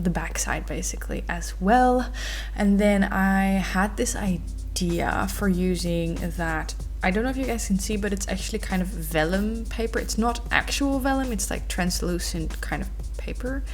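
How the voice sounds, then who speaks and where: A young Dutch woman with a Dutch accent, casually narrating her craft video in a chatty, rambling way with many fillers. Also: on the low side. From Netherlands. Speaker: craft vlogger. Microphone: voice-over recorded separately from the footage.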